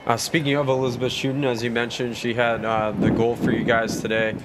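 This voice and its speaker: A man's voice: mid-range voice, little pitch movement, brisk, heavy background noise. A man who hosts a college sports broadcast, interviewing a coach, reading sponsors and wrapping up the game in an upbeat style.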